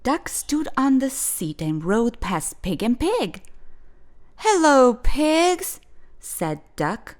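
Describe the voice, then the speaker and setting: A woman hosting a children's storytime, reading a picture book aloud expressively with clearly phrased storytelling. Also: medium pitch, sing-song, takes it slowly, few pauses, darkish tone, a little hiss or hum. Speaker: children's storytime host and reader.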